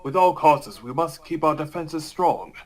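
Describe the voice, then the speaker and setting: A man's voice: fairly high pitch, animated, unhurried, a little mellow. A man voicing a steadfast, noble guardian creature in a solemn, declarative way, as in a cartoon.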